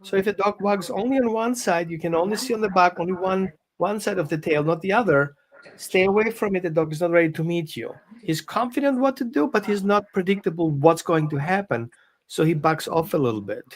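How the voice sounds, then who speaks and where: A man's voice: high voice, a little fast. A man, a dog behavior consultant explaining on a live show with phrasing and quoted examples. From Greece and Austria.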